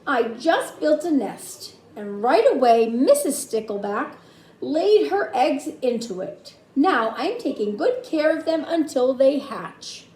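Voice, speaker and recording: A chipper father fish from a picture book, acted out by a woman, proud of his duties. The voice is high and swoops in pitch. It sounds like a slightly noisy, echoey room. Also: sing-song, takes it slowly, darkish tone, exaggerated voice. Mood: delighted, proud. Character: cheerful, proud male fish fathers guarding their eggs. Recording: roomy echo, faint background noise.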